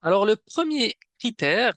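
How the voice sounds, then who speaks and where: A man hosting a webinar with a semi-scripted presentation, explaining in a measured way with some hesitation. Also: high-pitched, very animated, fairly bright tone, dry recording. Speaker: webinar host and program manager.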